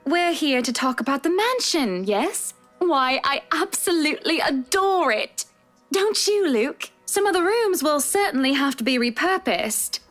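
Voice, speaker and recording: High-pitched, gracious rich society wife. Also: female voice, big pitch swings, a little slow, fairly bright tone, exaggerated voice. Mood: gracious, gushing. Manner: airy, gushing, polite but commanding. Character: gracious, posh, assertive wealthy society wife. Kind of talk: visual novel game voice acting. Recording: music under the voice.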